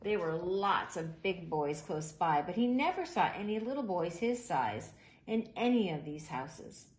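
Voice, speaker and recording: A woman reading a children's picture book aloud in an expressive, animated way, with deliberate emphasis. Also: on the low side. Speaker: storybook reader. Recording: roomy echo, faint background noise.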